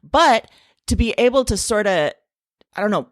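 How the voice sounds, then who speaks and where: A woman's voice: mid-range voice, lively intonation, moderate pace, dry recording. A woman in her forties co-hosting a podcast, chatting casually and laughing easily. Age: 40s. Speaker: podcast co-host. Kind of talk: casual chat.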